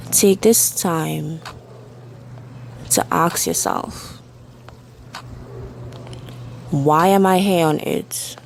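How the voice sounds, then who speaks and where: A woman leading a guided meditation with spoken affirmations, soothing and deliberate, leaving long pauses between short phrases. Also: low-pitched, fairly even intonation, vocal fry, a little hiss or hum. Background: soft background music.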